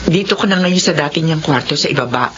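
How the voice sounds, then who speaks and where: A woman playing a remorseful, gentle and pleading mother of grown children in a Filipino radio drama. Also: low voice, animated, quick pace, noisy background. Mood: soft, careful. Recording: muffled radio broadcast with loud music.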